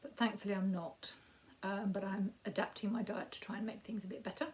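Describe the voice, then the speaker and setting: A woman in her fifties with a Yorkshire accent and a croaky voice, giving a rambling, casual crafting update with frequent ums. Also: medium pitch, fairly even intonation, a little fast. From Yorkshire, England. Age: 50s. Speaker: crafting podcast host.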